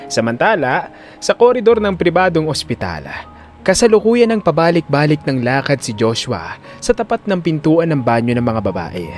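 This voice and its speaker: A man's voice: on the high side, big pitch swings, moderate pace, faint music behind. A man with a Filipino accent narrating an audio drama in a scripted, dramatic storytelling style.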